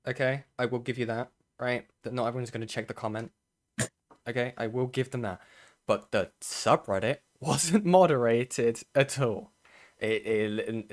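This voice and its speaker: Streamer, male, hoarse, casual and profane. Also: medium pitch. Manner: loose, sweary, trailing off. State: voice going hoarse.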